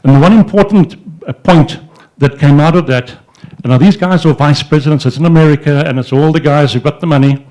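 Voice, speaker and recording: Older guy on a handheld mic at an event, bit high voice, rambly storytelling, lots of restarts. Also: a little fast, a little mellow, poor audio. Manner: gestures with his free hand while he talks. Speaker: entrepreneur speaking at a society event. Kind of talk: informal speech to an audience. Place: indoor event room in front of a banner. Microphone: handheld microphone.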